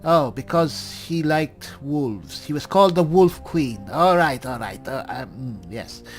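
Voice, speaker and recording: A man voicing a polite, bumbling cleric adventurer in in-character gameplay commentary, halting and musing. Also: fairly high pitch, expressive, faint music behind. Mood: musing, eager. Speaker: roleplaying game player.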